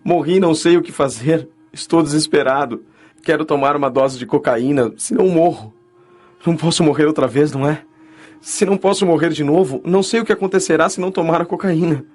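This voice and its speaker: A man playing a remorseful young spirit, a recovered addict, in an earnest, measured dramatized audiobook. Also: on the high side, a little mellow, close to an everyday voice. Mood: desperate pleading.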